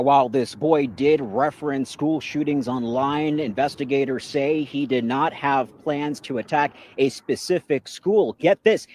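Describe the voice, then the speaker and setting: A man's voice: on the high side, a little mellow. A man reporting on broadcast news as a television correspondent.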